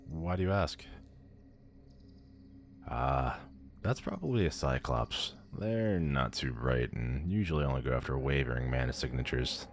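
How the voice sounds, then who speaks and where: A man's voice: very deep voice, animated, unhurried, a little hiss or hum, full character voice. A man playing a powerful demon lord in an ASMR audio roleplay, pompous, condescending and theatrical. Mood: lofty, instructive. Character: haughty, powerful male demon lord, bored and amused.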